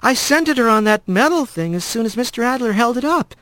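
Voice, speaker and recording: A man's voice: very high voice, lively intonation, fairly quick, sharp tone, dry recording, pitched above the performer's own voice, exaggerated voice. A man voicing a young girl mouse with a keen nose, speaking matter-of-factly.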